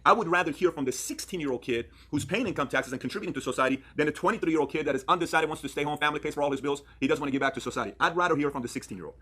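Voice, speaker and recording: A man's voice: on the high side, racing, few pauses, sharp tone, slightly rough. A man in his thirties from Iran presenting a persuasive explainer, earnest and emphatic, posing questions and answering them himself. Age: 30s. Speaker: video presenter.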